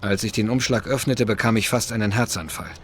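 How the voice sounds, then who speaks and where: A man's voice: fairly low pitch, brisk, slight background noise, close to an everyday voice. An actor playing a modest, wary male taxi driver in a video game, polite and plain-spoken. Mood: reflective. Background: music.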